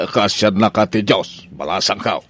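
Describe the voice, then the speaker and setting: A man's voice: lowish voice, huge pitch swings, takes it slowly, a bit bright, breathy edge, no room sound, full character voice. A man with an Ilocano Filipino accent playing an exasperated, nosy father in a comedy radio drama, full of stammered exclamations.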